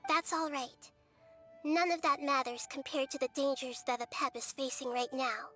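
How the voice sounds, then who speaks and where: A woman voicing a gentle, childlike little goddess in a video game, speaking softly in a measured, wise and composed way. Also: high-pitched. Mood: selfless, composed. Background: music.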